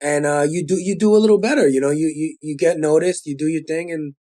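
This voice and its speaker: Animated Brooklyn-accented man. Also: on the high side, quick pace. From Brooklyn, New York. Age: 40s. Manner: animated street-style storytelling, slangy. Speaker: guest rapper. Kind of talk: casual interview chat.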